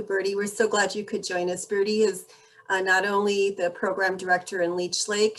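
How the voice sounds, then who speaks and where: A woman hosting an online meeting over a video call, introducing each guest warmly and graciously. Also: lowish voice, poor audio. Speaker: meeting host.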